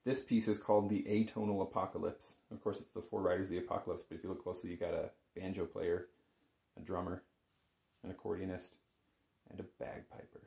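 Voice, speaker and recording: A man's voice: low-pitched, flat delivery, a little fast, vocal fry, dark tone. A man giving a casual, informal music lesson as an instructor, thinking aloud as he goes. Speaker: music instructor, bagpipe teacher. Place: small home room with shelves, indoors. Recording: roomy, echoing home recording.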